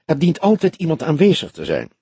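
A man voicing a composed, frank older woman in an audiobook, speaking softly in a measured, genteel, thoughtful way. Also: a little high, huge pitch swings, slightly rough, no room sound, voice pushed up, heavily acted. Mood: reflective, matter-of-fact. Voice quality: soft, friendly voice. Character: older woman club member, composed, soft-spoken, frank. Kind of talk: audiobook character dialogue.

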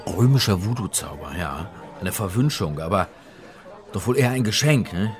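An actor playing a middle-aged scholar of religion who narrates a radio crime drama in an articulate, slightly pedantic way. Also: male voice, fairly low pitch, expressive, normal speed, music in the background, natural voice. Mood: intrigued. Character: bookish male scholar of religion narrating his story.

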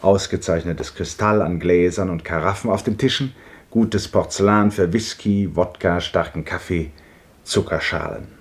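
A man reading the stage directions of a 1950s radio play in a measured, descriptive way. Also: low-pitched. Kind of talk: 1950s radio drama narration. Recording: archive radio broadcast.

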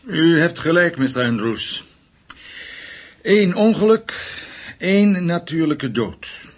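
An actor playing a deliberate investigator in a radio play, with a husky voice and measured delivery. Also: male voice, a little high, big pitch swings, full character voice. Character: slow, deliberate male investigator.

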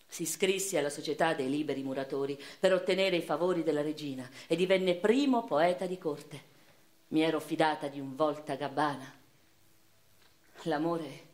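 A woman playing a passionate eighteenth-century noblewoman poet in a theatrical first-person monologue, with deliberate, expressive phrasing. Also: sits low. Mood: hurt, disillusioned. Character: passionate 18th-century noblewoman poet recalling her life. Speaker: stage actress performing a radio theatre monologue. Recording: echoey room sound with music behind.

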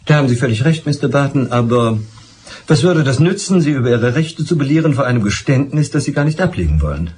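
A man's voice: mid-range voice, lively intonation, quick pace, a little mellow, natural voice. A male actor plays a formal, persistent police inspector in a radio drama. His delivery swings expressively as he presses with dry, exasperated insistence. Faint noise sits behind the voice. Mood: dryly exasperated.

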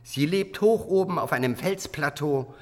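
An actor playing a scheming, hate-consumed illegitimate half-brother of a king in a fantasy radio drama, flattering and insinuating. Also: fairly high pitch, faint music behind, lightly acted. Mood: persuasive scheming. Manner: flattering, insinuating, deliberate emphasis.

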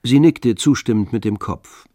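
A man narrating a literary radio play in measured, scripted storytelling. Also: mid-range voice, dark tone, dry recording, clean studio sound.

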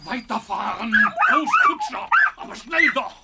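An actor in a radio play as a muffled coach passenger posing as a lady, frantic and shouting shrill commands. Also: huge pitch swings, a little slow, a little hiss or hum, exaggerated voice. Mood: panicked, commanding.

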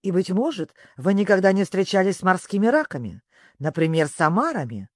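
A storybook reader acts out a weepy old-fashioned sea creature, sentimental and easily excited. The plaintive voice swoops widely and can tip from sobs into squeaks. The sound is close and dry. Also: brisk, no room sound. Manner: sobbing, plaintive, breaking into squeaks and yells.

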